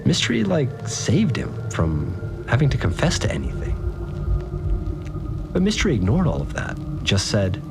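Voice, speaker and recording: A man's voice: mid-range voice, wildly swooping pitch, moderate pace, gravelly, music in the background. An actor playing a rattled, slangy, laid-back guy, telling his story conversationally in an audio drama. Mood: agitated, baffled. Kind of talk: informal first-person storytelling in audio drama.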